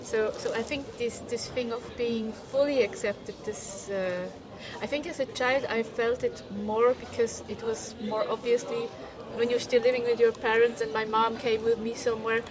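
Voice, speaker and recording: Halting woman, crowd behind. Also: fairly high pitch, fairly even intonation, rough recording. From Switzerland. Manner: halting, many restarts, searches for words. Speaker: film director, guest. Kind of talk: casual interview conversation.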